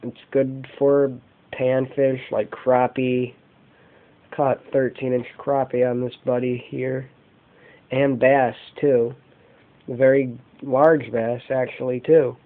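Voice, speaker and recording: A man giving an unscripted show-and-tell as an amateur vlogger, talking in halting, short phrases on a camera microphone indoors. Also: medium pitch, flat delivery. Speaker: amateur fishing vlogger showing lures. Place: indoors, carpeted room at home. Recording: dull, muffled lo-fi home video.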